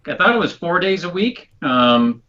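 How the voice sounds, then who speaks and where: Bright nasal man, dull lo-fi sound. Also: sits high, big pitch swings, normal speed. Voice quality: nasal, stuffed-up. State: congested. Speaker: talk show co-host. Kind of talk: casual chat.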